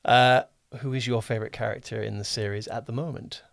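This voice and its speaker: A man with a medium-pitched voice hosts a relaxed, conversational podcast interview in a dry recording. He rambles a little, rewording his thoughts as he goes. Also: brisk, vocal fry, dry recording. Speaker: podcast host and drama producer. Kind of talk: casual podcast interview chat.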